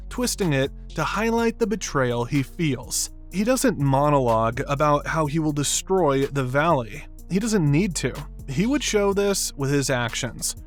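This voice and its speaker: A man's voice: a little high, lively intonation, a little slow. A man delivering scripted narration for an analytical video essay. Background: background music.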